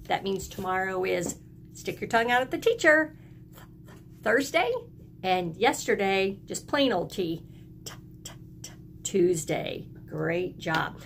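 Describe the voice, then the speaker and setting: A woman's voice: mid-range voice, lively intonation, takes it slowly, gravelly, long pauses, a little hiss or hum. A woman teacher giving a lesson to young children, encouraging them in simple phrases and prompting them to repeat after her. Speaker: early elementary school teacher.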